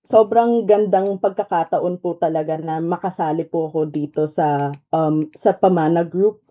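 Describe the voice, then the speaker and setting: A woman's voice: fairly low pitch, moderate pace. Young Filipino woman on a tinny phone line. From Philippines. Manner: polite, switches freely between Tagalog and English, with frequent fillers. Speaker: guest. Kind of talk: radio interview. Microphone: phone call.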